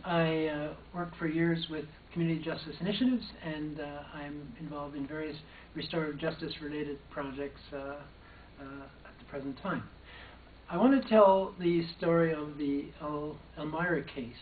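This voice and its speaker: A man's voice: fairly high pitch, steady tone, moderate pace, a little hiss or hum. An older man, a former probation officer, recounting a personal story in a hesitant way with frequent restarts. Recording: distant, echoey, phone-like sound.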